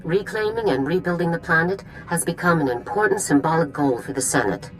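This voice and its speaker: A woman's voice: deep voice, lively intonation, brisk, a little hiss or hum, rough recording. A woman playing a stern army general giving a mission briefing over a comm channel. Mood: commanding. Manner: crisp, authoritative briefing.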